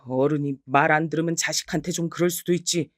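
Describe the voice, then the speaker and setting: A woman's voice: deep voice, fairly even intonation, fast, dry recording, lightly acted. Woman doing a stubborn husband, curt and dismissive, dry sound.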